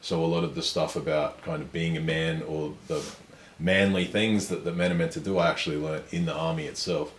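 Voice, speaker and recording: An extremely deep-voiced young man, speaking in a measured and self-assured way. His voice sounds roomy, and a faint ambient hum sits behind it. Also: steady tone. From Australia. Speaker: guest. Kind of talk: casual conversation. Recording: echoey room with faint ambience.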